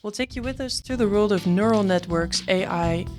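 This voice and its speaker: A woman's voice: medium pitch, normal speed, over music. A woman in her twenties presenting a student podcast from a script. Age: 20s.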